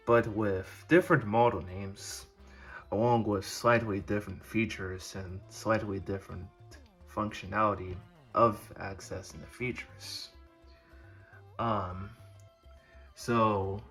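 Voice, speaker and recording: A man giving a casual show-and-tell narration as a hobby video host, with a husky voice and a halting, rambling manner. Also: fairly low pitch, a bit bright.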